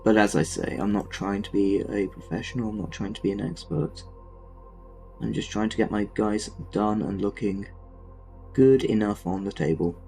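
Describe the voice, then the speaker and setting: A man's voice: lowish voice, moderate pace, vocal fry, dark tone, faint music behind, low-quality recording. A man with a Southern English accent chatting casually as a streamer while he paints, rambling and self-deprecating with lots of fillers. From Southern England.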